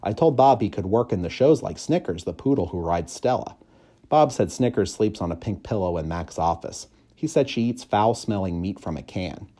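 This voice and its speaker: A man reading a children's story aloud in expressive, measured, clearly phrased narration. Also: on the low side. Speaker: audiobook reader, school staff member.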